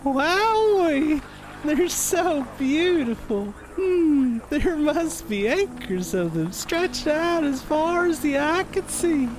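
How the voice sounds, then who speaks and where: A woman's voice: sits high, sing-song, slow, noisy background, full character voice. A woman playing an eager, bumbling, folksy junior police detective in an audio drama, deferential and wide-eyed. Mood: awed.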